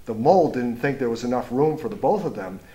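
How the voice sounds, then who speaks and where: A man reads a children's picture book aloud in an expressive storytime style, pausing to point things out and ask young listeners questions. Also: mid-range voice. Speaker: storybook reader, school superintendent.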